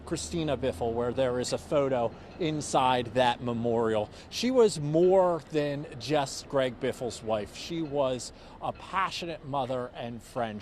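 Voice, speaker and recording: A man's voice: mid-range voice, darkish tone, slight background noise. An American man narrating a scripted TV news report as a reporter.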